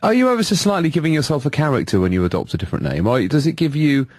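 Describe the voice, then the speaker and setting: A man's voice: fairly high pitch, big pitch swings, racing, darkish tone, dry recording. A man interviewing a guest on the radio, asking probing questions. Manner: probing questions, brief backchannels.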